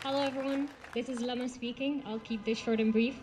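Young woman, from Gaza, rally speech, earnest storytelling, high-pitched, faint background noise. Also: steady tone, a little fast, a crowd in the background. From Gaza, Palestine. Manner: earnest, personal storytelling with fillers and restarts. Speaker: rally speaker. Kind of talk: outdoor protest speech. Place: outdoor rally.

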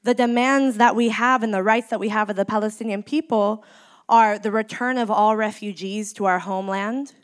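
Woman in her 30s, community organizer giving a speech, slow deliberate pauses, grieving but firm, mid pitched. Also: fairly even intonation, clear, resonant tone. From San Francisco Bay Area, United States. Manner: measured, emphatic delivery with deliberate pauses. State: in mourning. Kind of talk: impassioned speech.

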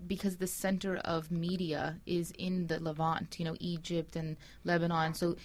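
A woman interviewing a guest, asking questions and adding remarks in a calm, even way. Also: on the low side, moderate pace. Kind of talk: conversational interview.

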